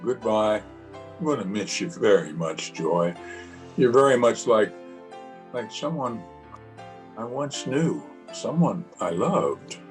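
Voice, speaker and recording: A man acts out a kindly middle-aged father in an old-time radio play. He sounds bewildered and hesitant, taking his time, with a lively rise and fall in pitch. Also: faint music behind. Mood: gentle, puzzled. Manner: hesitant, halting.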